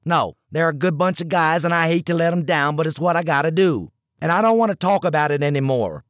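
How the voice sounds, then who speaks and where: A man doing an agitated young pitcher, high-strung. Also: expressive, fairly quick, no room sound, clean studio sound, natural voice. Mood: hurried. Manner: agitated, sarcastic. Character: frustrated young male pitcher.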